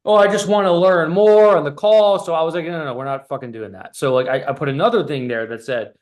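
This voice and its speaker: This is a man with a fairly high-pitched voice talking like a business coach on an informal call. He is blunt and swears freely. His delivery is emphatic and choppy, often restarting and repeating phrases. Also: fast, a little mellow. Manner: blunt, profane; emphatic, repetitive stop-start delivery.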